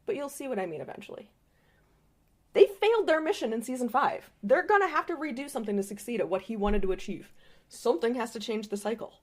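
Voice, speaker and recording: A woman's voice: on the high side, lively intonation, fast, darkish tone. A woman delivering an impassioned fan-theory monologue as a voice message, dramatic and emphatic, with some swearing. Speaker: listener sending a voice message.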